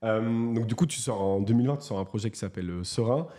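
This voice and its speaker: A man's voice: lowish voice, fast talker, warm tone. A man hosting a casual interview, talking with many hesitations and restarts. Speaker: host.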